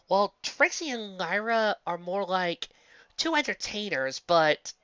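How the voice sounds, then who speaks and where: A woman's voice: medium pitch, very animated, a little slow, fairly bright tone, clean tone, dry recording. A woman giving a casual, chatty review to an audience, speaking emphatically with frequent restarts. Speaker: fan fiction reader and reviewer. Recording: dull lo-fi dry close recording.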